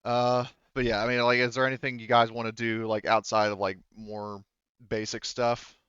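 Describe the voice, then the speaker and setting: A man's voice: mid-range voice, little pitch movement, moderate pace, a bit bright, clean tone, no echo, muffled recording. A man hosting a tabletop roleplaying session as game master, explaining things informally and a little hesitantly.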